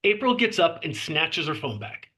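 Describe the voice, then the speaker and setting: A man narrates a screenplay at a table read, reading out the stage directions. His voice is fairly high and has a bright, forceful edge. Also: very animated, moderate pace.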